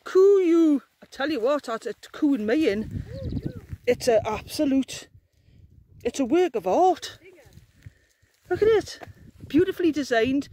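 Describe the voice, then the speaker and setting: A woman's voice: sits high, lively intonation, measured pace, long pauses, mellow, a little hiss or hum. A woman, chatting and teasing as she films her camping trip on open moorland with a handheld phone. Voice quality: gruff. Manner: chatty, teasing, laughs often. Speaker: outdoor vlogger. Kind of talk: casual handheld camping vlog. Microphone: phone camera held by the speaker.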